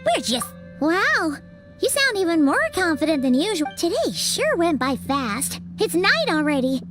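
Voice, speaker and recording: Tiny cheerful anime game sidekick, super high squeaky voice, bouncy pitch, music behind. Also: female voice, big pitch swings, a little slow, heavily acted. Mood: excited. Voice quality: squeaky, childlike. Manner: refers to self in third person, exclamatory. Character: tiny cheerful childlike female floating companion.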